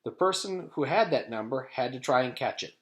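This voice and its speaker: A man reading a children's chapter book aloud as a teacher does for students, in an animated storytelling style. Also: mid-range voice, a little fast.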